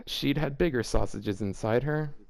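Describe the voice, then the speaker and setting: A man's voice: mid-range voice, measured pace, creaky. A man hosting a casual read-aloud, often breaking off to comment in amused, exasperated disbelief. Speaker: host reading fan fiction aloud for a video.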